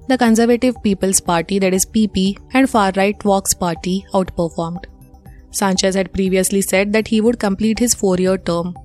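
A woman newsreader with an Indian accent delivers a scripted bulletin. Her voice sits at a medium pitch, and her delivery is formal and measured. Faint music plays behind her. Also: a little mellow. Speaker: news presenter. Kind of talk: scripted news bulletin reading. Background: music under the voice.

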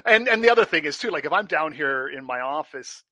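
A man's voice: on the high side, lively intonation, brisk, sharp tone, no echo. A man with a big, carrying voice, a former pastor chatting casually from his home office and rambling with lots of fillers. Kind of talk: casual conversation.

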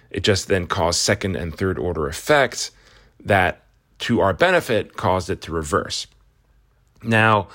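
A man with a very low voice talks through the morning's market news in a loose, conversational way. He often stops and restarts his sentences and fills gaps with ums. His voice is slightly hoarse from a cold. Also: fairly bright tone. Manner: conversational, halting with restarts and fillers. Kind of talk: casual morning market rundown.

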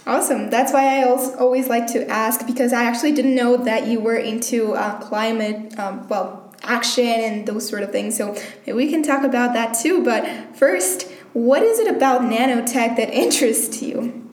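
A woman's voice: a little high, moderate pace, a little mellow, echoey room. A woman hosting an interview, asking friendly, loosely rambling questions. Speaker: podcast host. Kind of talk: casual interview.

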